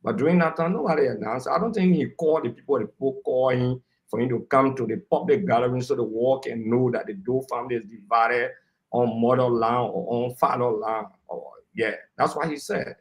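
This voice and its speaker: A man's voice: medium pitch, brisk, poor audio. Blunt, critical Liberian man. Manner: blunt and critical, speaking with firm conviction. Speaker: panel guest. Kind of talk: political talk show discussion.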